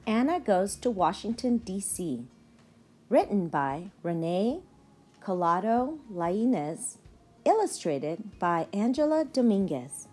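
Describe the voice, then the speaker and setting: Slow, expressive woman reading aloud. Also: medium pitch, faint music behind. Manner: deliberate, animated storytelling delivery. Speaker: teacher reading aloud to a class.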